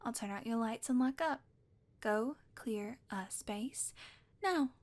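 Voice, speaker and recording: A woman's voice: fairly high pitch, expressive, takes it slowly, slight background noise. A young woman voicing an obsessive, possessive witch in an audio roleplay, talking sweetly and coaxingly and often dropping to a whisper. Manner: sweet, coaxing, softly controlling, slips into whispers.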